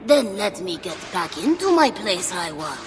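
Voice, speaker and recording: A woman's voice: medium pitch, big pitch swings, moderate pace, natural voice. An actress playing a sly, teasing, scheming female merchant imp in a video game, with a playful, insinuating, theatrical delivery. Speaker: merchant character. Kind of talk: game cutscene acting. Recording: long hall reverb, clear background noise.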